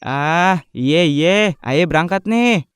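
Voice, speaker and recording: A man's voice: a little high, expressive, slow, fairly bright tone, soft background music. A man voicing a fussy, bossy older neighbourhood man with a Jakarta Betawi accent in a cartoon. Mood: grumbling. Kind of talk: comic cartoon dubbing.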